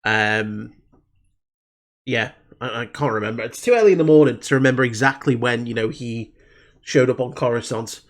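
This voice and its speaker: Host británico joven, resfriado leve, tono de charla suelta, voz de altura media. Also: male voice, mid-range voice, normal speed. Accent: British English. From Britain. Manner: rambling, many fillers and restarts. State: slightly congested from a cold. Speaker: reaction video host. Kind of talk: casual review chat.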